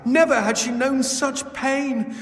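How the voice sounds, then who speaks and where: High-pitched, theatrical demon sidekick. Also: male voice, slow pace. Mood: dramatic storytelling. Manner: theatrical, quippy, storyteller's flourish. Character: chatty, bawdy male demon sidekick, witty and theatrical. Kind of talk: video game character acting. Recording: long hall reverb under game music.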